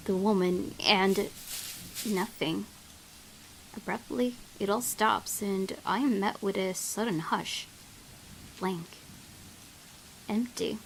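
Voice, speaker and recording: A woman's voice: medium pitch, creaky, a little hiss or hum, poor audio. A woman hosting a gaming video, reading a visual novel aloud dramatically and reacting with open emotion. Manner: expressive, exclaiming at the game.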